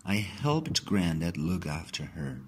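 A man narrating a children's story aloud in an expressive, dramatic way. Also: sits low, takes it slowly, slightly rough.

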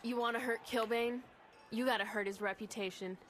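A woman's voice: fairly high pitch, steady tone, fairly quick, a bit bright, soft background music, natural voice. An actress playing a cool, calculating female gang strategist who speaks matter-of-factly.

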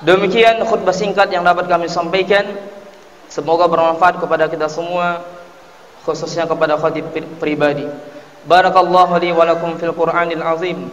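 A man's voice: fairly high pitch, flat delivery, moderate pace, lo-fi sound, a little hiss or hum. A man, delivering a sermon as a mosque preacher in formal, exhorting oratory. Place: tiled mosque interior, at the pulpit. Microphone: mounted microphone at the pulpit. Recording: echoey hall, distant amplified voice.

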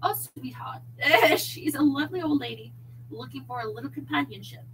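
A woman voicing a suave, smooth-talking British man with a velvety, purring delivery in an audiobook story. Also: sits high, normal speed, fairly bright tone, slight background noise, voice pushed up, low-quality recording. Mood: smooth, amused.

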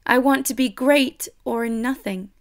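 A woman's voice: high-pitched, measured pace. An actress playing a proud, outspoken, ambitious young lady in an audio drama. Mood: proud, guarded. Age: young adult.

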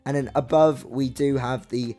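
A young man with a slightly croaky, mellow voice chats his way through a game walkthrough. He rambles loosely, piling on "like" and "so". Faint music plays softly underneath. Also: medium pitch, fairly even intonation, moderate pace. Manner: chatty, rambling, lots of "like" and "so". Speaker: Minecraft builder showing his map. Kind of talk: casual walkthrough narration.

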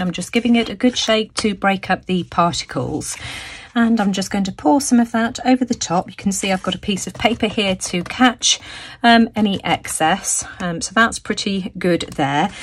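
A woman hosting a crafting video, explaining each step with plenty of ums, her voice a little croaky. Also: medium pitch, a little hiss or hum. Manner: frequent ums, friendly explaining. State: slightly croaky voice. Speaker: crafting channel host. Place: craft table, indoors.

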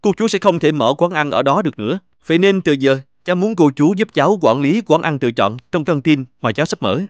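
A man's voice: on the high side, expressive, a little fast, no echo, natural voice. An actor playing a grateful, successful young businessman in a cartoon, polite and sincere. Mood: earnest.

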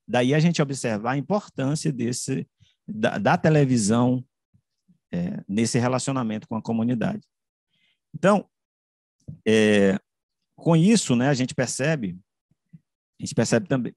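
A man with a Brazilian accent giving an explanatory lecture over a video call, haltingly rephrasing as he goes. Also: mid-range voice, darkish tone, no room sound, studio quality. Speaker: academic presenter. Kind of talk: explanatory online lecture presentation with slides. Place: indoor room, online video call. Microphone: video call microphone.

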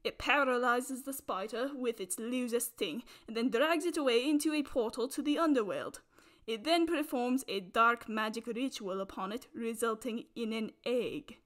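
A woman putting on a prim British nature documentary narrator voice, hosting a parody nature vlog with pompous, mock-solemn deadpan. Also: a little high, normal speed, natural voice. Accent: put-on British. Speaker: host.

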